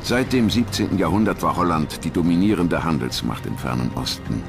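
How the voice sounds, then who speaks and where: A man's voice: low voice, animated, fairly quick, creaky. A man reading scripted narration as the narrator of a documentary. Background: music.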